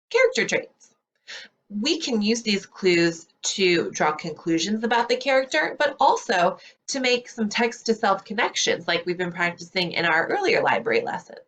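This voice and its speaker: A woman speaking as a school librarian, explaining things clearly in a read-aloud lesson for young children. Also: medium pitch, fairly bright tone. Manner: clear, explanatory teacher talk.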